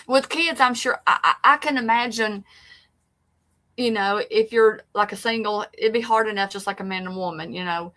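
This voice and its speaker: A woman with a bright, forceful voice and an East Tennessee accent hosts a casual livestream. She talks chattily, stopping and restarting often. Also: mid-range voice. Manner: chatty, halting, with many restarts. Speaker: host.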